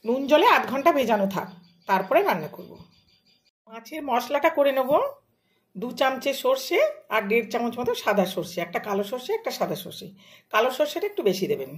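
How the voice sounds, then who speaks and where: A woman's voice: on the high side, very animated, fairly quick. A woman, a home cook narrating a recipe step by step in plain, short, casual phrases. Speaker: home cook hosting a cooking channel.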